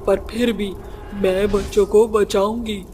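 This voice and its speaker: A man's voice: high voice, little pitch movement, takes it slowly, noisy background. A man voicing a self-sacrificing female witch in a horror cartoon, speaking in an earnest, dramatic way. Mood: urgent, resolute. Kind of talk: Hindi horror cartoon acting.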